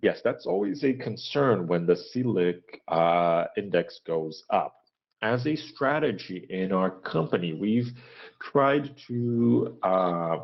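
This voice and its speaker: A man giving a corporate earnings presentation in a halting, measured business manner, pausing often to hesitate. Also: on the low side, vocal fry. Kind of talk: corporate earnings call presentation and question answering, unscripted. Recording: dull, lo-fi conference call audio.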